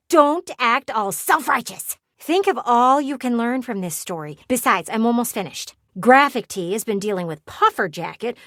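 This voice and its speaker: Sassy gossipy lady window for a game, super animated, teasing, dramatic storytelling, dry studio sound. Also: on the high side, no echo. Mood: impatient, teasing, then gossipy, intrigued. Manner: conspiratorial, theatrical storytelling with teasing asides. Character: gossipy, dramatic talking house window, female, nosy and sassy. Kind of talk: video game voice acting.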